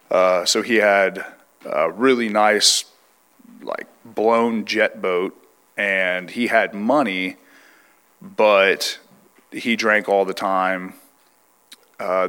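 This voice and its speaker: Young guy from california, stuffy nose, low voice, thoughtful and plain. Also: fairly bright tone. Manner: reflective, plain-spoken, restarts often. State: congested, under the weather. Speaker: guest telling his life story. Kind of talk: testimony interview.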